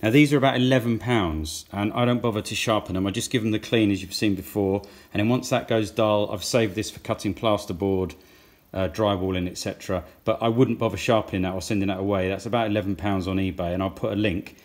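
A man's voice: lowish voice, steady tone, fast talker. A man in his thirties, plainly and practically talking through saw blade tests in his woodworking workshop. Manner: plain, practical, thinking aloud. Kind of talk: workshop tool review.

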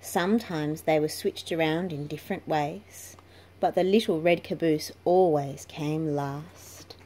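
Slow-paced woman reading a storybook. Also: deep voice, fairly even intonation, a little mellow. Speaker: children's book reader. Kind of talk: slow read-aloud storytelling. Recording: noisy home recording.

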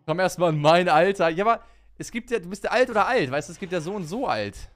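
A man's voice: high voice, animated, fast, a bit bright. A man in his twenties streaming a video game from his room on a headset, chatting casually and thanking viewers. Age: 20s. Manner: chatty, self-mocking, reads out chat and thanks subs. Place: home gaming room.

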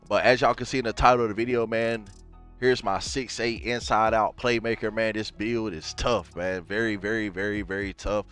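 Young male gaming streamer with a slightly low voice, stuffy from a cold; relaxed, chatty delivery to his viewers, faint music under him. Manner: laid-back, addresses viewers as y'all, fillers like man and bro. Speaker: gaming YouTuber. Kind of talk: casual game walkthrough. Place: home gaming setup, gaming chair.

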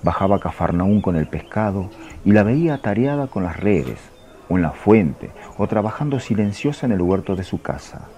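A man acting a chatty, mellow fisherman. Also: fairly low pitch, natural voice. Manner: folksy, chatty, teasing. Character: Peter, plain-spoken middle-aged fisherman fond of his wife. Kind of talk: religious radio drama acting.

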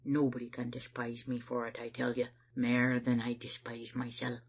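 A woman's voice: rumbling low, monotone, normal speed, breathy edge, voice pushed down, exaggerated voice. A woman voicing a proud, stubborn male church elder, stern and guilt-ridden, telling his tale emphatically in broad Scottish dialect. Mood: ashamed.

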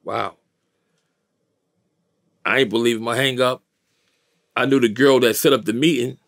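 A man in his fifties with a gravelly voice and a New York accent, telling a story in slangy, emphatic, streetwise interview talk. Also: medium pitch, steady tone, measured pace, dry recording, studio quality. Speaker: interview guest. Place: small indoor recording studio, dry sound.

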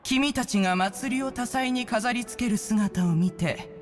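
A woman's voice: a little high, a little slow, faint music behind, natural voice. A woman voicing a proud, ancient female bird immortal in a video game, speaking in an archaic, imperious, pompous way. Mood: proud, grand. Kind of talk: Japanese video game voice acting.

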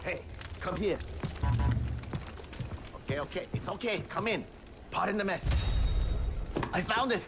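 An actor playing an anxious, hurried village informant in a video game. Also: male voice, sits high, lively intonation, over loud music.

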